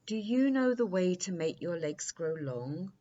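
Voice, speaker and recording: A woman's voice: fairly low pitch, sing-song, unhurried, dark tone, highs cut off, slight background noise. A woman voicing an eager, determined little boy prince in a storybook, full of questions and exclamations.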